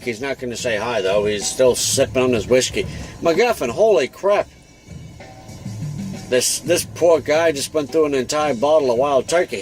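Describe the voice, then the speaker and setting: A man's voice: medium pitch, natural voice. A man voicing a world-weary, wisecracking old-time private detective, rambling in an offhand, slangy, grumbling way. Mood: wry, grumbling. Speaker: narrator and host of a comic monologue. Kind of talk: noir private-eye monologue, improvised rambling. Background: jazz music with saxophone.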